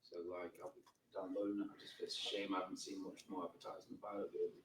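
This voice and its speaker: A man speaks up from an audience, away from the microphone in an echoing room with faint noise. He talks fast in a lowish voice. His casual comment comes out in halting bursts with repeated phrases. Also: little pitch movement, gravelly. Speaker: audience member asking a question. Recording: distant, roomy, faint background noise.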